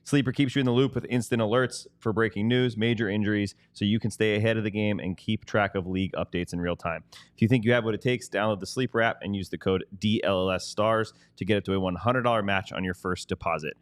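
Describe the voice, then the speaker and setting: A man with a fairly low voice co-hosts a sports show in casual, conversational style. The sound is dry and close. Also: steady tone, fast, dry recording. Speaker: sports podcast co-host. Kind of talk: casual sports talk and ad reads.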